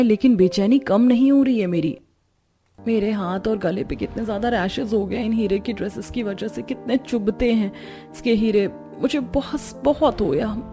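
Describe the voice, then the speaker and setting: A woman's voice: medium pitch, mellow, lightly acted. A woman voicing a discontented young daughter-in-law in a cartoon story, complaining in a plaintive way. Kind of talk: Hindi cartoon story dialogue.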